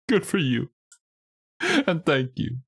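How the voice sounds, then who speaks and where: Playfully exasperated man with swooping pitch. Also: expressive, very slow, vocal fry, a little hiss or hum. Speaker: host reacting to game trailers with a friend. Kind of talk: casual livestream banter and commentary. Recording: music behind the voice. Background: music.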